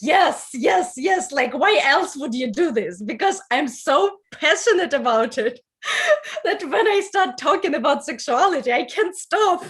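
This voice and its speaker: Higher-voiced, unhurried woman with a Ukrainian accent. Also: sing-song, a bit bright. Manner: effusive, giggly. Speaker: podcast guest, sex education coach. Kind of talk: casual interview talk.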